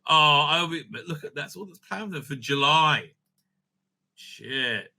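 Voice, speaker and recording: Man, high-pitched, piercingly bright, excitable, stammering, sweary, off-the-cuff opinionated commentary. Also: slow, gravelly. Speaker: host of a fan news commentary video. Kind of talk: unscripted, profane opinionated commentary.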